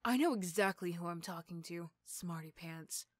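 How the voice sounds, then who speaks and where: A woman's voice: fairly low pitch, moderate pace, no room sound, voice pushed down, natural voice. A woman playing a mean but grudgingly sympathetic supervillain in an ASMR roleplay, with a dry, teasing, condescending manner. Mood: irritated, condescending. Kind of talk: ASMR roleplay character acting.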